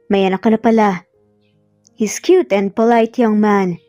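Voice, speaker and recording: A woman's voice: mid-range voice, steady tone, measured pace, dark tone, no echo, dull audio, faint music behind, lightly acted. A Filipino woman voicing a smitten, brooding young businessman in a romance story, feigning composure and mixing in English. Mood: feigned cool, probing. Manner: code-switches between Filipino and English.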